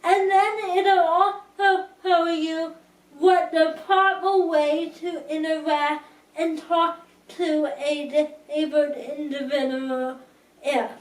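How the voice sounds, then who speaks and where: Slow-talking young woman, high and roomy. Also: steady tone, far from the mic. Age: young adult. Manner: slow, careful speech with restarts. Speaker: vlogger. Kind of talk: casual talk to camera.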